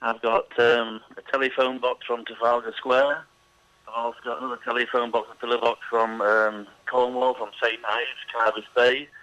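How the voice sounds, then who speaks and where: A man's voice: mid-range voice, level tone, normal speed, sharp tone, no echo, dull audio. A middle-aged man being interviewed on the radio, chatty and hesitant, with many ums. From England. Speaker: interview guest.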